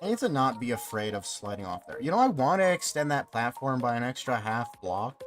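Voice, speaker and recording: A man's voice: mid-range voice, sing-song, a little fast. A man in his twenties chatting casually and rambling as he streams a game, swearing now and then. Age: 20s. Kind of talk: casual livestream chat while playing. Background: game music.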